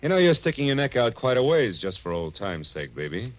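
An American actor playing a tough, wry private detective in a 1940s radio drama, with clipped, slangy, wisecracking delivery. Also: male voice, medium pitch, big pitch swings, a little fast, lightly acted. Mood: probing, wry. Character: tough wry male private detective narrating his case. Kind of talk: 1940s radio acting, hardboiled first-person narration. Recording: dull, noisy old radio recording.

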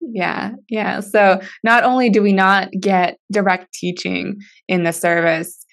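A woman's voice: medium pitch, fairly even intonation, measured pace, vocal fry. A woman whose first language is Russian, a podcast guest talking casually and earnestly about church. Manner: earnest, explanatory, with soft laughs. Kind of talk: casual conversation.

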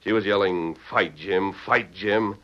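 A deep-voiced, calm Western hero. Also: male voice. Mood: firm, persuasive. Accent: American. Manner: measured, self-assured. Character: calm, steady Western hero posing as Easterner. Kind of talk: old-time radio Western acting. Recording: dull lo-fi vintage radio recording.

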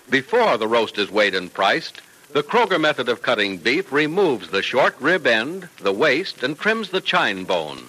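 A man's voice: mid-range voice, sharp tone, rough recording. A man announcing and narrating in a polished, emphatic old-time radio style, on a vintage broadcast recording.

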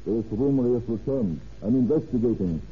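An actor playing a formal foreign official reading out cabled replies in a clipped way in an old radio drama. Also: male voice, mid-range voice, expressive, brisk, husky. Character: foreign port captain and police chief replying by cable. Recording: tinny, noisy old radio broadcast.

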